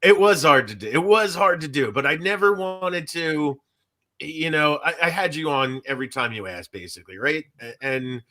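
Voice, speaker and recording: A man's voice: a little high, expressive, moderate pace, sharp tone. A man hosting a casual podcast, talking in a rambling way that trails off mid-thought. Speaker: podcast host.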